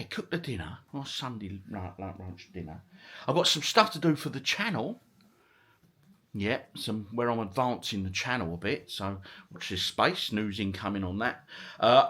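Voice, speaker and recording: A man's voice: medium pitch, bright, forceful tone. A middle-aged man chatting casually to his vlog viewers, rambling along in British slang with chirpy greetings. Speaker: vlogger and retro gaming channel host.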